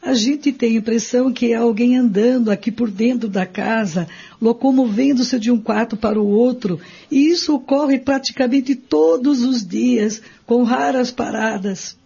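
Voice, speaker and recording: Woman doing a worn-out anxious wife in a radio play, raspy n dark, kinda muffled. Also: on the high side, moderate pace, heavily acted. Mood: weary, troubled. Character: troubled, sleepless married woman, weary and anxious. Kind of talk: radio drama acting. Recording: dull lo-fi radio play recording.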